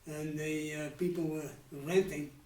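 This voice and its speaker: Man, 90s, Dutch accent, halting speech with restarts, slightly high voice, roomy distant sound. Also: level tone, darkish tone, slight background noise. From Netherlands. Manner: halting, many restarts and trailing pauses. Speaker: interviewee. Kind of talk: candid life-story interview.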